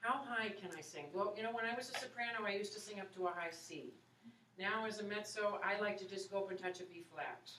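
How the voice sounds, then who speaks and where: A woman's voice: mid-range voice, fast talker, sharp tone. A woman in her forties, an opera singer and voice teacher, chatting in an encouraging way with students and taking their questions. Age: 40s. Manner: chatty, encouraging, many "you know"s. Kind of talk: casual talk and Q&A with students. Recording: echoey room, far from mic, faint hiss.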